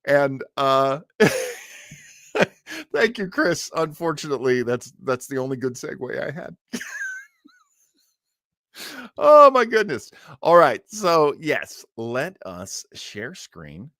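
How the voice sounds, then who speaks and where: Animated male streamer with unhurried, rambling delivery. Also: mid-range voice, no room sound, studio quality. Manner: rambling, hesitant, easily sidetracked. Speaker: live stream host. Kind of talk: casual, enthusiastic live stream chat.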